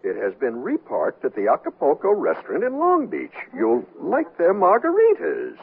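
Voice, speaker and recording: A man's voice: fairly high pitch, wildly swooping pitch, moderate pace, gravelly, husky, heavily acted. Wildly swooping, fussy rich man. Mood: puzzled. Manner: fussy, exasperated. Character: flustered, imperious wealthy husband. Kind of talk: 1970s radio comedy acting. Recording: tinny, noisy 1970s radio broadcast.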